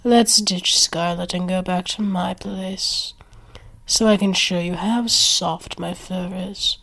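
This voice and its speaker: Woman playing a slow, teasing werewolf girl. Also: fairly low pitch, steady tone, creaky, fairly bright tone, heavily acted. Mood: coaxing, proud. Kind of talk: ASMR roleplay acting.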